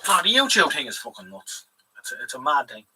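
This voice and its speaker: A man's voice: mid-range voice, very animated, fast talker, noisy background. An Irish man hosting a live stream, chatting in a rambling, casual way and swearing freely. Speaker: livestream host. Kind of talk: casual livestream chat. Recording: voice a bit far from the mic.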